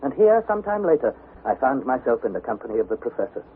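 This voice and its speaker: A man's voice: mid-range voice, very animated, fast, breathy edge, narrow, tinny audio, heavily acted. An actor playing an anxious young explorer in a vintage radio adventure, narrating his diary with theatrical intensity. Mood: weary, reflective. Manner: theatrical, intense, breathless delivery. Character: young male explorer, dramatic, anxious diarist. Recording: noisy, phone-thin vintage radio recording.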